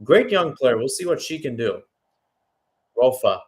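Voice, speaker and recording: A man with a medium-pitched voice commentates live on a football match in radio play-by-play style. He moves between calling the action and loose, conversational analysis, sometimes hesitating or correcting himself as he goes. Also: sing-song, measured pace.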